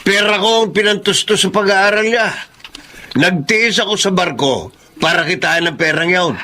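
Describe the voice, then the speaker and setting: An idle middle-aged Filipino father in a radio drama. He grumbles in a high, forceful voice, whining as if he has been wronged. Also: moderate pace. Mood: aggrieved.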